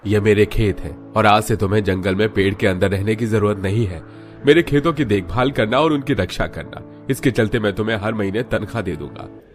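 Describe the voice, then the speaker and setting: Man voicing earnest cartoon villagers over soft music. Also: lowish voice, a little fast, natural voice. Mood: grateful, generous. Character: grateful village headman and humble poor young husband. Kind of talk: Hindi cartoon moral story dubbing.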